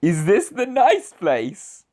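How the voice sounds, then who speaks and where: A woman's voice: medium pitch, wildly swooping pitch, takes it slowly, mellow, exaggerated voice. A woman playing a flustered, panicky writer in his mid-thirties who has just been murdered, in an amateur radio drama. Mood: exasperated. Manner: flustered, exasperated, demanding answers.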